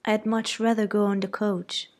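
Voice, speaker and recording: A woman voicing a mild, gentle young woman who speaks calmly in a storybook reading. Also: mid-range voice, moderate pace, voice pushed up, lightly acted.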